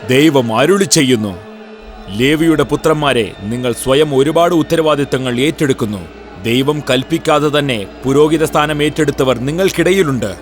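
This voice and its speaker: A man voicing a solemn, stern prophet who proclaims with authority in a dubbed animated Bible drama. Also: fairly high pitch, moderate pace, faint music behind, lightly acted. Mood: solemn, proclaiming.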